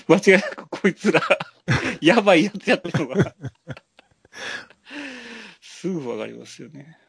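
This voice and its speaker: A man co-hosting a podcast, chatting casually about films in polite but relaxed speech, often chuckling as he talks. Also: high voice, takes it slowly, vocal fry, pauses often, husky, dry recording, studio quality. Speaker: podcast co-host. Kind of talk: casual film chat.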